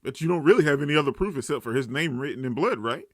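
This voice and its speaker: A man giving casual, off-the-cuff reaction commentary, thinking out loud as he goes. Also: fairly high pitch, lively intonation, very fast, no room sound, clean studio sound. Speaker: anime reaction host.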